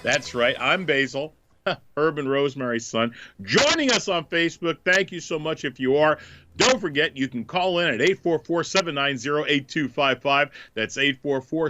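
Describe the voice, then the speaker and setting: A man's voice: on the high side, bright, forceful tone, no room sound, lo-fi sound. A man hosting a comedy podcast, talking casually with a stuffed-up nose from a cold, jokey and full of fillers. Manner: chatty, jokey, many filler ums. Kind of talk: casual radio chat.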